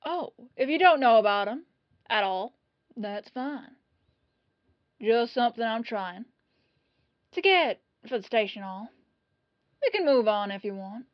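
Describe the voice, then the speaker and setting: A woman's voice: fairly high pitch, expressive, unhurried, pauses often, dry recording. Woman doing a lady detective in an audio drama, acts casual but suspicious, dry slightly muffled sound. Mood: businesslike probing. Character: dogged female police detective, casually probing, quietly suspicious. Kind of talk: scripted audio roleplay.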